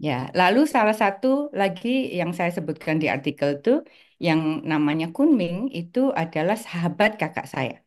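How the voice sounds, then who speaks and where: A woman in her sixties from East Java, a guest recalling her family's past in an interview, pausing often with fillers. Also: fairly low pitch, lively intonation. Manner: hesitant, many filler pauses. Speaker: guest, article author. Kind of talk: interview, personal recollection.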